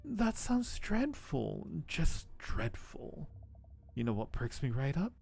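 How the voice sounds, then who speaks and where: A man's voice: medium pitch, big pitch swings, slow, creaky, darkish tone, faint music behind, voice pushed up, full character voice. A man voicing a sinister elderly aunt in a game, coaxing and falsely sweet, with a nasty streak.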